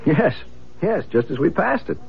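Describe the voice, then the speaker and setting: A man's voice: a little high, big pitch swings, normal speed, gravelly. A man playing a casual, practical husband in a radio drama. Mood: casual, curious. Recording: dull lo-fi radio recording.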